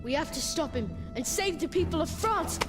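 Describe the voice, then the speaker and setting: A woman's voice: high-pitched, expressive, normal speed, over music. A woman playing a plucky, idealistic little French street boy in a video game, defiant and earnest. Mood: defiant, pleading. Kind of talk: dramatic video game acting.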